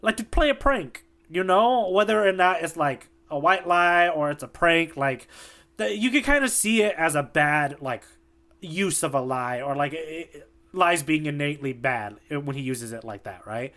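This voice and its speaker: Male podcast host, slightly high voice, loose and joking, leans on fillers. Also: animated, normal speed, bright, forceful tone. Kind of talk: casual chat.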